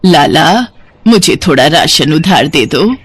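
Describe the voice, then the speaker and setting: A man's voice: a little high, animated, takes it slowly, a bit bright, husky, heavily acted. A man voicing a worried, pleading old village mother-in-law in a moral story cartoon.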